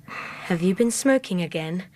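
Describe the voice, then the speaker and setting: An actress playing a gentle, anxious young daughter nursing her father in a radio drama, solicitous and fussing. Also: on the low side, animated, measured pace. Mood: gently scolding.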